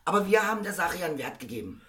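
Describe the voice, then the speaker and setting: Man, hoarse, bright voice, rambling, casual podcast host. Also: high-pitched, very animated, very fast. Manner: rambling, self-mocking, chuckling.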